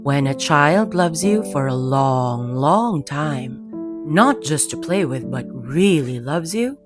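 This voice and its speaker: A woman voicing a wise, gentle old male toy horse who speaks slowly, patiently and reassuringly in a children's story. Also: sits low, lively intonation, music in the background, voice pushed down.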